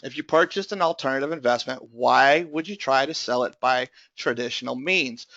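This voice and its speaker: Man, webinar presenter, strained bright voice, explanatory, filler-heavy, dry lo-fi sound. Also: medium pitch, normal speed, gravelly, no room sound. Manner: explanatory, many filler uh's. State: voice strained from bronchitis. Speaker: tax lien investment presenter.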